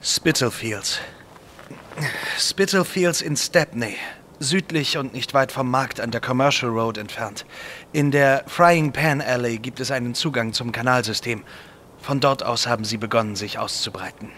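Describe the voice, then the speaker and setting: A man plays a grave Victorian time traveller in an audio drama. His mid-pitched voice is earnest and explanatory, weighted with regret. Clear outdoor engine-like noise runs behind him. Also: unhurried, fairly bright tone, close to an everyday voice.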